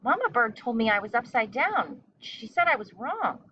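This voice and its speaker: A woman's voice: on the high side, very animated, normal speed, dull audio, a little hiss or hum, voice pushed up. A woman voicing an earnest, childlike young female bat in a storybook. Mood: puzzled.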